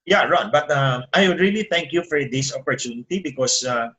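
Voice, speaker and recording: Filipino man, indignant, rising pitch. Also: expressive, fairly quick, fairly bright tone. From Philippines. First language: Tagalog. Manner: indignant, rises sharply in pitch when making a point. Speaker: attorney and guest. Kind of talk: interview over a call.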